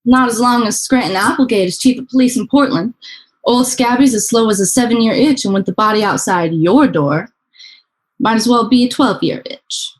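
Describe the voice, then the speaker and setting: A woman's voice: on the high side, normal speed, lightly acted, poor audio. A woman playing a wry, teasing office secretary in a radio play.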